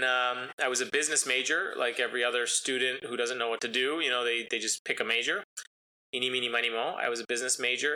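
A man's voice: mid-range voice, pushed and bright, rough recording. A man whose first language is Italian, talking casually as an interview guest about his racing life, rambling with many ums and uhs. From United States.

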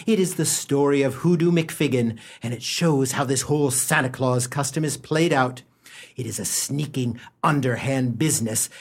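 A man's voice: mid-range voice, husky. Man acting as theatrical, mock-solemn radio storyteller. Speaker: narrator.

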